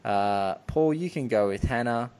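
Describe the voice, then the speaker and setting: A man's voice: mid-range voice, lively intonation, a little slow, darkish tone, clear, resonant tone. A man hosting a podcast, chatting about film in a rambling, effusive way. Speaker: podcast host. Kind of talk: enthusiastic film discussion, casual chat.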